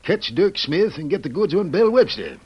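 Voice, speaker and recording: A man's voice: high voice, very animated, a little fast, husky, muffled recording, full character voice. A man playing a grizzled, folksy Old West sheriff with an in a radio drama. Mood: keen. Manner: folksy, plain-spoken. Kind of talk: old-time radio Western acting.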